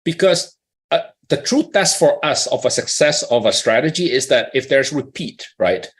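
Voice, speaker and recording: A man's voice: mid-range voice, expressive, normal speed. Conversational male company executive explaining things. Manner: conversational, checks in with "right?" and rhetorical questions. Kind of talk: explanatory business talk on an earnings call.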